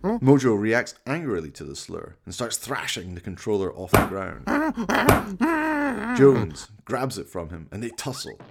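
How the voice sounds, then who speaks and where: A man's voice: lowish voice, big pitch swings, measured pace, heavy background noise. A man giving theatrical, wry scripted narration for a horror radio play. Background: music.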